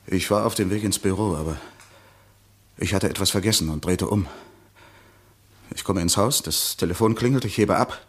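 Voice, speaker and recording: A man playing a proud, cornered lawyer accused of murder in a German crime radio play, with a husky voice and dramatic, defensive delivery. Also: low-pitched. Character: proud, cornered male lawyer accused of killing his wife.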